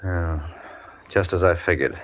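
An actor playing a tough, wisecracking American private detective with a dry, sardonic delivery in an old-time radio drama. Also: male voice, sits low, a little slow, a bit bright. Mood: cool, wry. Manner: dry, sardonic, clipped hard-boiled talk. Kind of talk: 1940s-50s radio detective drama acting.

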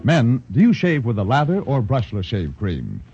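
A man reading a shaving cream commercial with a brisk salesman pitch, 1940s radio style. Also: huge pitch swings, mellow, faint music behind. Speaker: commercial announcer. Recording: muffled old radio broadcast.

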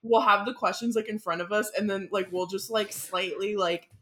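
A woman guest on a podcast, talking casually and warmly with constant "like" fillers. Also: medium pitch, steady tone, normal speed, crowd noise. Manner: gushing, filler-heavy, lots of "like".

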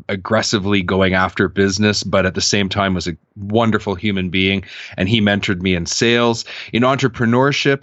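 A man's voice: fairly low pitch, no echo, muffled recording. A man in his thirties telling his life story candidly as a podcast guest, earnest and reflective. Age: 30s. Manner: earnest, reflective, animated when quoting others.

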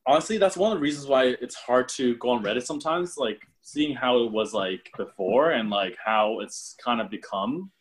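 A man's voice: mid-range voice, moderate pace, few pauses. Rambling young man in casual chat. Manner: rambling, many fillers and restarts.

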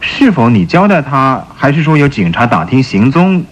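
Husky, stubborn police chief. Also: male voice, medium pitch, sing-song, moderate pace, lo-fi sound. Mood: suspicious, insistent. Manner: probing, insistent, theatrical questioning. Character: stern, suspicious, stubborn Paris police chief.

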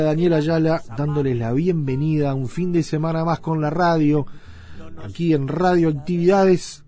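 A man's voice: on the high side, dark tone. A man with a Uruguayan accent hosting a radio show in a conversational, loosely phrased way, as in an introduction. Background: background music.

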